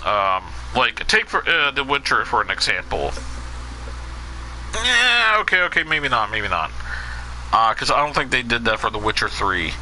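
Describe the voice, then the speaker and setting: A man's voice: medium pitch, very animated, normal speed, bright, forceful tone, slight background noise, low-quality recording. A man streaming a video game, chatting to viewers in a casual, rambling way and answering their questions with lots of fillers. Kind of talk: casual let's play commentary.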